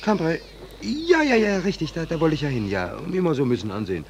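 An actor playing a hapless stateless drifter in a 1940s German radio play, colloquial and hesitant, naive but easily indignant. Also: male voice, on the high side, very animated, fast talker, a little hiss or hum. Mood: sheepish, stalling.

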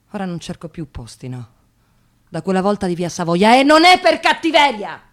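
An actress playing a nervous, tired young unemployed woman in a radio monologue, halting and agitated, repeating her words. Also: fairly high pitch. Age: young adult. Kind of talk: radio theatre dramatic monologue.

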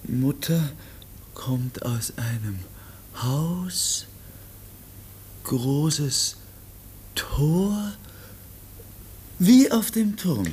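A bewildered, childlike youth only just learning to speak. The words come extremely slowly and haltingly, in simple broken pieces, as radio drama with faint rumbling noise behind. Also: male voice, expressive, darkish tone. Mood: dreamy, yearning. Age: young adult. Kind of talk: German radio play acting.

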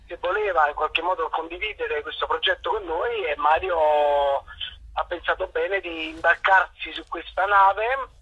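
Guy from naples calling in, high bright husky voice, muffled phone sound, hunts for words a lot. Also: a little slow. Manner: thoughtful, searching for words with fillers. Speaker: actor guest. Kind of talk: phone interview. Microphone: telephone.

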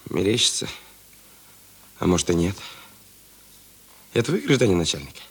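An actor playing a defiant, bitter criminal in a radio drama, insolent and theatrical, with a raspy, gravelly voice. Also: male voice, low-pitched, very animated, moderate pace, exaggerated voice. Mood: sullen, weary. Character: defiant, bitter male criminal lying in hospital.

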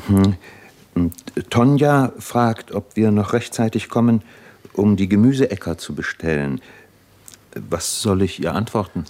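Male actor as thoughtful educated man, vintage radio play: deep voice, earnest, careful, hesitant phrasing. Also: darkish tone, close to an everyday voice. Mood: pensive. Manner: hesitant, deliberate, searching for words. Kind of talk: 1950s radio drama acting.

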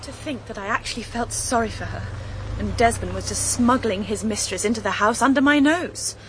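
A woman's voice: on the high side, fairly quick, fairly bright tone, a little hiss or hum, lightly acted, rough recording. A woman playing a well-bred, indignant young Englishwoman in a radio drama, with an English accent. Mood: bitter, baffled. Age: young adult.

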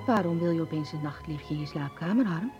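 A woman acting a gentle, worried mother in a radio play, speaking softly and soothingly in a husky, breathy voice. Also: deep voice, lively intonation, a little fast, over loud music. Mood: tender, uneasy. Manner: soft, soothing, hesitant. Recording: muffled old radio play recording.

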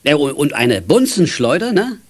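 Jovial father and radio buff, lively intonation, teasing, children's radio-play style. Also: on the high side, normal speed.

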